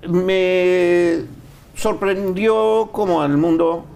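A Mexican man hosting a casual interview, talking in a relaxed, rambling way with lots of fillers. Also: high-pitched, drawn-out words, vocal fry. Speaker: interview host.

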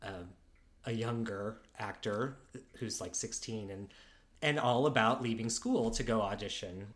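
A man chatting casually as a podcast guest, halting and repeating words as he goes. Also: lowish voice, a bit bright. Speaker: podcast guest, actor and writer. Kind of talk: casual chat.

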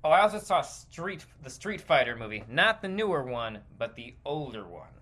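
A man's voice: on the high side, big pitch swings, moderate pace, pushed and bright. A man hosting a podcast, giving casual, rambling, opinionated solo commentary in a conversational way. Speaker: podcast host.

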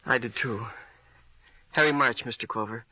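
Casting: man to play a bitter, sly city teen for a radio thriller, mid-pitched, needling, on dull old tape. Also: no room sound, lightly acted. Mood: sly, insinuating. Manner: halting, sardonic, needling. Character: brittle, bitter, sly seventeen-year-old city boy. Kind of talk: 1950s radio crime drama acting.

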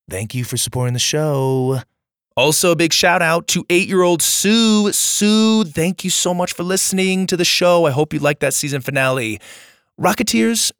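A man's voice: medium pitch, fairly bright tone, no echo. Man with strained voice, chatty and dry-miked. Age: adult, father of young children. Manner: enthusiastic, chatty, informal. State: strained voice, recovering from nearly losing it. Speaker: host and storyteller of a children's podcast. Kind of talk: casual podcast outro chat to young listeners.

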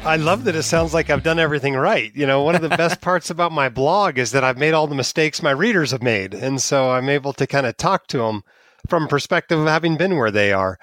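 A man's voice: on the high side, a little fast, fairly bright tone, no room sound, soft background music. A man from Alaska, a physician and blogger, telling his story candidly as a podcast guest, chuckling at himself now and then. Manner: candid, self-deprecating, chuckles while talking. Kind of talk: casual interview storytelling.

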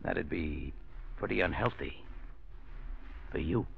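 An actor playing a smooth racketeer who makes veiled threats in insinuating tough-guy slang, in 1940s radio crime drama style. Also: low-pitched, takes it slowly, full character voice. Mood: sly menace. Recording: muffled old radio recording, faint noise.

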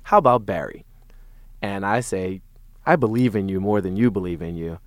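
A man hosting a podcast in casual chat, telling stories in a wry, joking, animated way. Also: low-pitched, normal speed, no echo. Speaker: podcast host.

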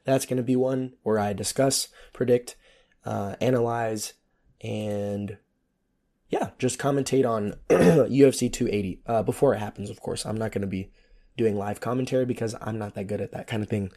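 A hoarse man who is losing his voice, hosting a casual solo podcast with a sarcastic, rambling manner and free swearing. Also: fairly low pitch, moderate pace, mellow. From United States. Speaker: podcast host.

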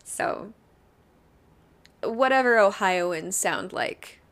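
A woman's voice: medium pitch, expressive, extremely slow, a bit bright. A woman co-hosting a podcast, telling a true crime case in a chatty way and laughing often. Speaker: podcast co-host. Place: Ohio.

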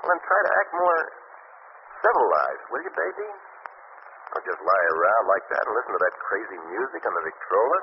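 A man announcing and acting in 1940s radio drama style, dramatic and often shouting. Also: fairly low pitch, sing-song, a little fast. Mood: uneasy. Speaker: announcer and actor. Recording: muffled old radio broadcast with hiss.

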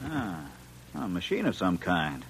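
An actor playing a confident, wry Earth scientist who also narrates, in brisk vintage radio drama style. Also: male voice, low-pitched, very animated, slight background noise, full character voice. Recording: old radio broadcast recording.

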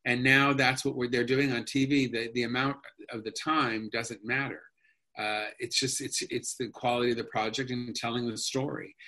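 A man's voice: mid-range voice, flat delivery, normal speed, sharp tone. A man being interviewed as an actor, chatting casually and rambling with many restarts and fillers. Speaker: actor guest.

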